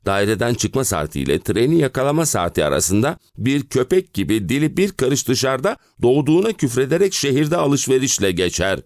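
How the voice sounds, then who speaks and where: A man's voice: mid-range voice, big pitch swings, fairly quick, hardly any pauses, darkish tone, no echo, clean studio sound. An actor playing an exhausted middle-aged family man and clerk, complaining theatrically in an audio play. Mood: fed-up ranting. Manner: dramatic, complaining, rhetorical questions. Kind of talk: theatrical audio drama monologue.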